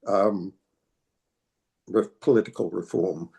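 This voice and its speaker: A man's voice: sits low, takes it slowly, creaky, a little mellow. An older man with a New England accent, answering interview questions in a hesitant, thoughtful way, with frequent restarts. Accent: New England American. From New England, United States. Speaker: interviewee, political activist. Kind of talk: reflective interview talk.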